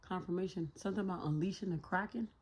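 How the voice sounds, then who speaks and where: Woman, hoarse, raspy, low voice, mellow tone, slangy and profane, casual tarot reading. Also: quick pace. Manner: slangy, profane, thinks out loud; talks to spirit while pulling cards. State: hoarse, voice a little shot. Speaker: tarot reader.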